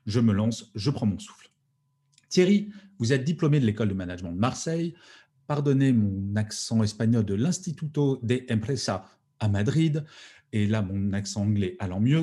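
A man's voice: mid-range voice, mellow, husky. A man in his forties hosting a podcast interview, enthusiastic and a little theatrical. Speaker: podcast host.

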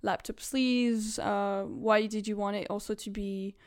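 A woman's voice: medium pitch, fairly even intonation, a little slow, darkish tone, clean tone. A woman hosting a podcast and asking questions in a conversational interview style.

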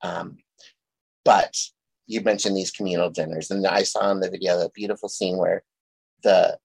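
Male host, low and gravelly, gentle storyteller, dry close sound. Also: fairly even intonation, normal speed, no room sound, low-quality recording. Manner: gentle, thoughtful, tells stories. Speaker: podcast host. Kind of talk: reflective interview chat.

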